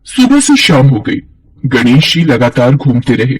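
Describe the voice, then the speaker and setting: A man's voice: medium pitch, very animated, unhurried, slightly rough, low-quality recording. A man narrating a scripted cartoon folk tale as a storyteller.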